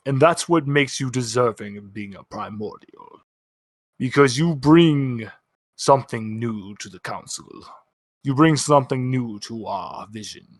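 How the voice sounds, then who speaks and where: A man voicing an ancient higher entity in a tabletop game, a grave, wistful and kindly mentor who speaks haltingly and dramatically. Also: no room sound.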